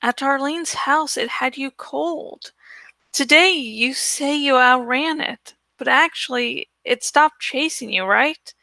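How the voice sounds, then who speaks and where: Woman playing an earnest, high-voiced teenage girl. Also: takes it slowly, gravelly, pushed and bright, dry recording, full character voice. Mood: puzzled, probing. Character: troubled, puzzled teenage girl, earnest and probing.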